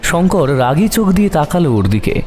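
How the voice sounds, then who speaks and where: A man's voice: a little high, sing-song, moderate pace, darkish tone. A man narrating a scripted horror audio story in a dramatic storytelling style. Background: music.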